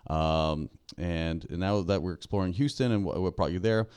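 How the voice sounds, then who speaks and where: Smooth, very deep-voiced male podcast host. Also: moderate pace, a little mellow. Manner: chatty, with frequent fillers and backchannels. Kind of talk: casual interview chat.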